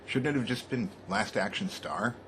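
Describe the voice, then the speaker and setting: A man's voice: on the low side, moderate pace, a bit bright. A man hosting a comedy show with casual, rambling comic talk. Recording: echoey room with clear background noise.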